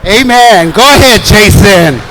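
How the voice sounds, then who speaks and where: Slow polite guy asking a question in an echoey church hall, bit of faint noise. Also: sits high, lively intonation. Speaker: audience member asking a question.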